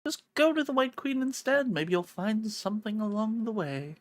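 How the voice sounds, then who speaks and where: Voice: medium pitch, lively intonation, normal speed, dry recording, pitched above the performer's own voice, natural voice. A streamer voicing a sly, grinning cat-girl from a visual novel, teasing, cryptic and leisurely.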